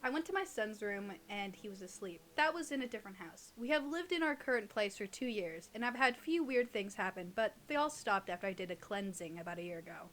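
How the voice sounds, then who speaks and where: A woman's voice: mid-range voice, lively intonation, brisk, hardly any pauses. A young woman in casual conversation as a podcast co-host, informal and teasing, reading creepy stories aloud. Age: young adult.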